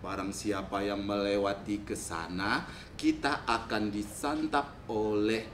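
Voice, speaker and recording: A man voicing a big, cautious eldest goat brother in a children's story, measured and full of warning. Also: fairly even intonation, a bit bright. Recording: roomy echo, faint rumbling noise.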